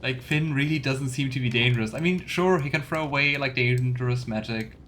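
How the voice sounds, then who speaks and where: A man's voice: mid-range voice, fairly quick, fairly bright tone, a little hiss or hum. A man giving casual let's play commentary, thinking aloud in loose fragments. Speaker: game streamer. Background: faint game music.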